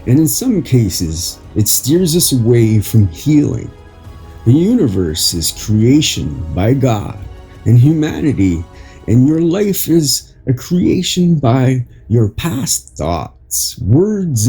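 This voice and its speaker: Husky, slow-reading man, mellow and expressive. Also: medium pitch, faint music behind. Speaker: podcast host and article reader. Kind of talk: slow read narration of an inspirational article.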